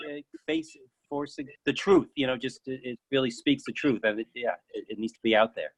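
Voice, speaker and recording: A man giving informal feedback in halting, broken phrases. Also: mid-range voice. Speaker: audience member giving feedback.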